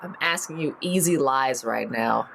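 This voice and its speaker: A woman playing a tabletop roleplaying game, chatting casually and playfully thinking her moves out loud. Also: rumbling low, unhurried, creaky, a little hiss or hum.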